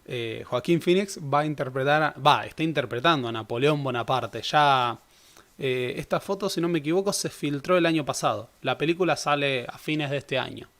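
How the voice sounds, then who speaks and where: A man's voice: mid-range voice, normal speed, a bit bright. A young man giving a casual, conversational history lecture. He corrects himself often and adds joking asides. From Argentina. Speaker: history teacher.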